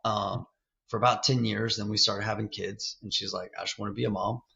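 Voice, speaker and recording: A man's voice: on the low side, gravelly, pushed and bright. A man of fifty telling his story as an interview guest, loose and candid, often saying "like" and "man". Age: 50s. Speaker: real estate investor guest. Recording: dull lo-fi sound.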